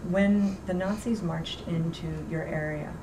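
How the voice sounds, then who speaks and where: A woman's voice: lowish voice, steady tone, unhurried. Woman interviewer, distant and noisy. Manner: gentle, hesitant questioning. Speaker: interviewer host. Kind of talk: interview questions.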